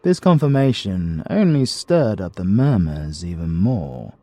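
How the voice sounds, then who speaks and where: Deep raspy british guy reading an audiobook, slow and dark toned. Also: sing-song, no echo. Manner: slow, deliberate, gravelly storytelling. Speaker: audiobook narrator. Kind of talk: scripted narration.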